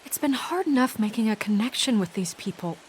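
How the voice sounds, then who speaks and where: Actress doing an earnest official lady, highish voice, careful and diplomatic, game cutscene, faint rain. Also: lively intonation, fairly quick, natural voice. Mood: stern. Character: earnest, composed female official in dark fantasy thriller. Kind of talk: dramatic video game acting. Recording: faint rain noise behind the voice.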